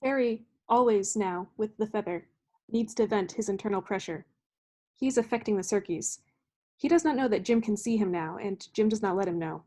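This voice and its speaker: A woman's voice: medium pitch, moderate pace, dark tone. A woman reading stage directions as scripted narration for a play.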